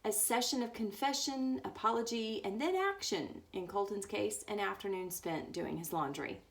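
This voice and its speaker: A woman's voice: mid-range voice, expressive, moderate pace, vocal fry. A woman reading a book aloud as a storyteller, now and then stumbling over words. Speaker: story reader.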